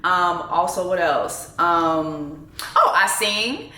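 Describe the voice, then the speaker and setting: A young woman with a bright, forceful tone and a slightly low voice talks casually to camera. She was raised speaking Haitian Creole and grew up in Canada. Her chat is loose and rambling, full of self-deprecating asides, and the room sounds echoey around her. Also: animated, slow pace. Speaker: vlogger and TV co-host.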